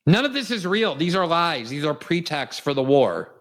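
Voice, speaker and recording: A man's voice: a little high, very animated, normal speed, fairly bright tone. A man from South Florida hosting a political commentary show, delivering an emphatic, indignant, cutting monologue. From South Florida, USA. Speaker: host.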